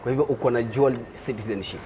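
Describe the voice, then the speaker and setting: A man with a Kenyan accent hosts an interview in a conversational manner. His voice is of average pitch, with slight noise and ambience behind it. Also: quick pace. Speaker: interview host.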